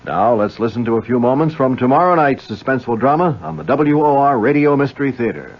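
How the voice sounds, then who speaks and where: A man's voice: medium pitch, expressive, fast. A man reading scripted station announcements as a radio announcer. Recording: muffled radio broadcast, faint noise.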